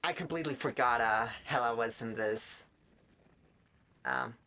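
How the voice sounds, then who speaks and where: Raspy, bright-voiced streamer chatting haltingly. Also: mid-range voice. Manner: halting, self-interrupting, trailing off mid-thought. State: dry throat. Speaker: live streamer. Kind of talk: casual rambling chat while gaming, giving art advice.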